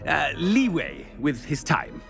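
An actor playing a haughty, irritable middle-aged headmaster in a video game, blustering and stammering when flustered. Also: male voice, fairly high pitch, huge pitch swings, slow pace, creaky, fairly bright tone, soft background music, full character voice. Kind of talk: video game dialogue acting.

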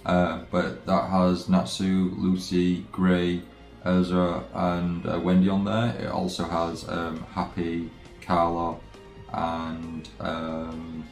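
A man streaming a game with casual chat, talking in a halting, hesitant way. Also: low-pitched, creaky, a little mellow. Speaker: let's play streamer. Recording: roomy microphone with game music behind. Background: game background music.

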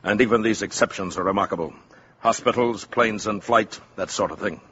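An actor playing a commanding, stern army general in 1950s radio drama, formal and authoritative. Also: male voice, fairly low pitch, brisk, a bit bright, close to an everyday voice. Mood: grave, official. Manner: authoritative, clipped formal address. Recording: dull, slightly noisy 1950s radio.

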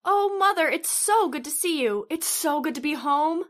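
An actress playing a lively, outspoken young daughter in a radio drama. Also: female voice, high voice, moderate pace. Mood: cheerful. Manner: bright, outspoken.

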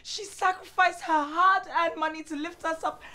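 An exasperated, wounded wife in a naturalistic screen drama. Her voice sits high, with a bright, forceful edge. She argues with pointed emphasis, repeating words to drive them home. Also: steady tone, normal speed. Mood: hurt, reproachful. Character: hurt, exasperated wife confronting her proud husband.

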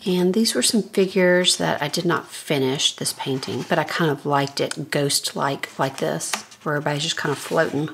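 Scratchy low-voiced woman touring her sketchbook. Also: normal speed, gravelly, hardly any pauses. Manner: relaxed, thinking aloud while flipping pages. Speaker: artist giving a sketchbook tour. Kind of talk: casual sketchbook walkthrough. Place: home art studio, indoors. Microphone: overhead camera, speaker off-frame.

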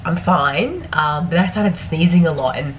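An Australian woman in her thirties talks through her week in a relaxed, rambling way, with plenty of ums along the way. Her voice sits low, and she sounds sniffly, as if she is losing her voice. A faint hum sits behind her in a home kitchen. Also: normal speed, rough recording. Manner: chatty, rambling, frequent ums. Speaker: vlogger. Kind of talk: casual grocery haul vlog.

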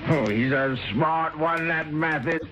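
A man's voice: fairly high pitch, unhurried, natural voice. An actor playing a tipsy, gossipy married man with a rambling, chummy manner in an old radio drama. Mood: tipsy, confiding. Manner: rambling, hesitant, chuckling drunk talk. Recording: muffled old radio recording, music behind.

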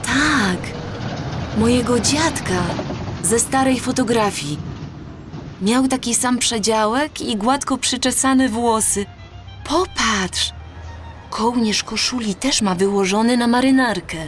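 A woman's voice: a little high, takes it slowly, faint music behind. An actress playing a tender young woman full of wonder in an audio drama, speaking in a wistful, marvelling way. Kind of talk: Polish audio drama dialogue.

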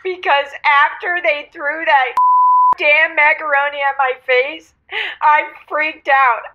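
A woman's voice: sits high, fairly even intonation, slow pace. An actress voicing a needy, emotional, oddball young woman who calls a radio show and talks in an earnest, gushing way. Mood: agitated confession. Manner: gushing, earnest, tearful at moments. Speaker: radio call-in guest. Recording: muffled phone call to radio show.

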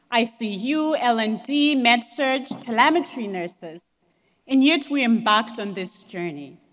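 A woman giving a heartfelt, expressive graduation speech at a podium in a large hall. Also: fairly high pitch. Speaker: graduating student speaker. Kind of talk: commencement speech. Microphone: podium mics. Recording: long hall reverb.

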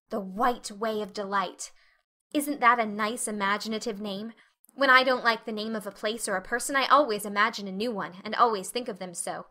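Woman as a high, dreamy little orphan girl. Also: sharp tone. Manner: rapturous, effusive. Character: dreamy, talkative, imaginative orphan girl child. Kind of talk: dramatic audiobook reading.

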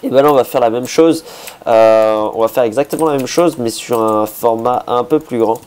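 A man with a hoarse voice, a bit sick, casually talking through a building project, thinking aloud with many hesitations and restarts. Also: mid-range voice, moderate pace, a little mellow. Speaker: Minecraft builder.

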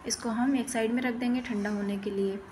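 A woman's voice: a little high, steady tone, brisk, darkish tone, slight background noise. A woman giving plain-spoken, step-by-step instructions in a recipe voice-over as host of a cooking channel.

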